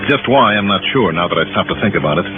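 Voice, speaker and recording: A man's voice: low voice, lively intonation, fast. A man playing a shrewd, dogged insurance investigator in a 1950s radio detective drama, with a probing, wry, clipped delivery. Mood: confiding narration. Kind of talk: 1950s radio detective acting with first-person narration. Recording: muffled old radio broadcast, music underneath.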